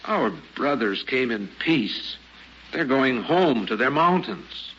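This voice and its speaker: An actor playing a stern, solemn renegade outlaw in an old-time radio drama, with firm, formal phrasing. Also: male voice, medium pitch, animated, slow, sharp tone. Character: stern bearded human renegade leading Martians, sorrowful. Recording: dull old radio recording, faint noise.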